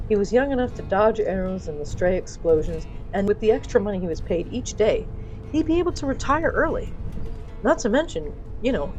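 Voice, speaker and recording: A woman's voice: medium pitch, dark tone, lo-fi sound, slight background noise. A woman narrating a scripted comic fantasy story in a theatrical, wry way, with asides to her audience. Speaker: storyteller of a fiction podcast.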